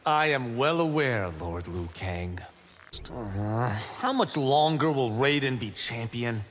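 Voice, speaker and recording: An actor playing a cocky, boastful young martial-arts monk in video game fight banter. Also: male voice, mid-range voice, expressive, slow, fairly bright tone, big-room sound, slight background noise. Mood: respectful. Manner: brash, proud, playful.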